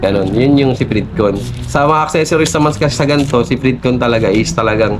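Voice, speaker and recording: A man's voice: mid-range voice, fast, music in the background. A Filipino man working as a shop salesperson, explaining products in a polite, conversational way and mixing in English terms.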